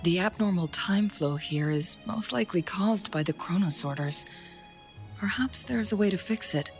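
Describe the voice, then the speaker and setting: An actress playing a composed, thoughtful young female strategist in a game, speaking calmly with a soft breathy edge. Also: on the low side, faint music behind, close to an everyday voice. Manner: calm, measured, softly breathy. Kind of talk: video game cutscene dialogue.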